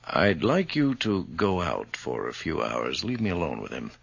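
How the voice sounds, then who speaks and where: An actor playing a grave, confident doctor with a gravelly voice and a measured, admonishing manner in a radio drama. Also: male voice, a bass voice, very animated, no room sound, heavily acted. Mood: firm, reassuring. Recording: dry, dull old radio recording.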